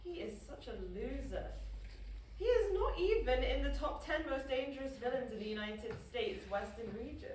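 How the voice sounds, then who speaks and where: A woman playing a sardonic, unimpressed, haughty official in an animated comedy table read. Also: high-pitched, normal speed. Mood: mocking. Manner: disdainful, theatrical. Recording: echoey room, distant microphone, faint noise.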